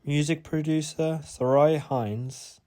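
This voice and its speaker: A man's voice: a little high, steady tone, slow, warm tone. A man reading scripted closing credits as an announcer.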